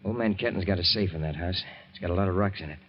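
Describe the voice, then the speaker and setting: An actor playing a threatening gangster in a 1940s radio drama, smug and slangy. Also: male voice, low-pitched, racing, natural voice. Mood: menacing. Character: menacing gangster with a gun. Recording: muffled 1940s radio recording.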